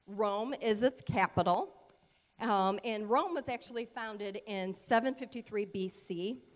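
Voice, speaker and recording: A woman's voice: medium pitch, measured pace. An American woman giving an informal educational talk at a church service, chatty and rambling with many fillers and asides. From United States. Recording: long reverb of a large hall.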